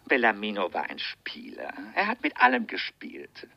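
Ein Schauspieler spielt in einem Krimi-Hörspiel einen weltgewandten Zyniker, der pointiert, theatralisch und mit bedachten Pausen spricht. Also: male voice, on the low side, huge pitch swings, gravelly, dry recording, muffled recording, exaggerated voice. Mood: cynical, musing. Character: worldly, cynical informant discussing a gambler's downfall. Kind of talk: German radio crime play acting.

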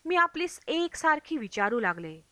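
A woman's voice: high voice, very animated, normal speed, sharp tone, no room sound. A woman reading a travel memoir aloud as scripted audiobook narration. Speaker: audiobook reader.

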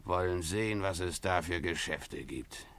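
An actor playing a swaggering, rough, drunken sea captain in a radio play, with a theatrical manner. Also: male voice, sits low, a little slow, fairly bright tone. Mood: boastful, brooding. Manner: theatrical, swaggering, bellowing calls. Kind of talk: German radio play acting.

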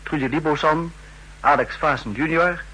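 A man making a formal, measured announcement in the style of 1960s radio credits. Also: fairly high pitch, bright, forceful tone, husky, low-quality recording.

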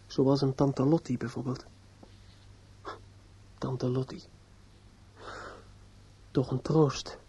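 An actor in a radio drama playing a despairing, obsessive judge's son who is outwardly polite and inwardly bitter. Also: male voice, medium pitch, mellow, natural voice. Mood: bleak brooding. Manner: halting and hesitant with others, brooding and repetitive when alone. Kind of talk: radio drama acting with interior monologue. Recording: dull lo-fi old radio play recording.

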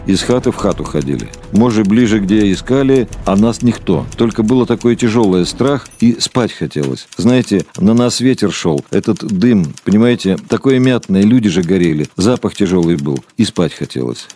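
A man playing a weary villager giving halting, conversational testimony of wartime horror in an audio drama. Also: on the low side, animated, mellow, soft background music, lightly acted. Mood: weary recollection.

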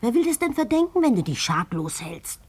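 Husky, anxious, reproachful wife. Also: female voice, on the high side, sing-song, brisk. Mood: bitter, urging. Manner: insistent, reproachful. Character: anxious, bitter, pressing wife of a clerk. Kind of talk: German radio play acting.

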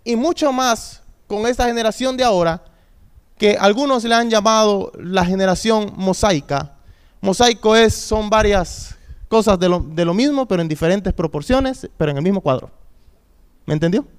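Male youth pastor, thirties, high smooth voice, preaching with repetition and call-and-response. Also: moderate pace. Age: 30s. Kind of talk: sermon.

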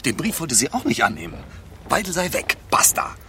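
An actor playing an anxious, self-important refugee writer, hesitant yet insistent, in radio play dialogue. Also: male voice, fairly high pitch, wildly swooping pitch, a little fast. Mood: secretive, nervous. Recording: noisy street ambience with traffic.

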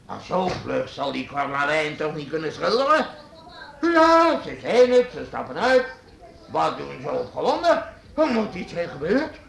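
An actor playing a pompous, easily flustered burgomaster in a Dutch radio drama. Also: male voice, a little high, big pitch swings, measured pace, vocal fry, fairly bright tone, heavily acted. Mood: irritated, puzzled. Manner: pompous, blustering. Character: blustering, dismissive, easily flustered Amsterdam burgomaster, husband and father. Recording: roomy radio play recording.

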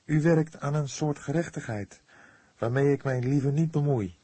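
Audiobook role: protective young woman, firm and earnest, raised pitch, husky edge played by a man. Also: normal speed, dark tone, no room sound. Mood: calm, earnest. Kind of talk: audiobook character dialogue.

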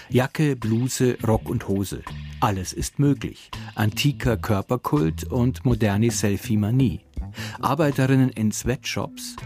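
A man's voice: on the low side, darkish tone. A man presenting a radio drama, reading a scripted introduction in the role of host. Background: music.

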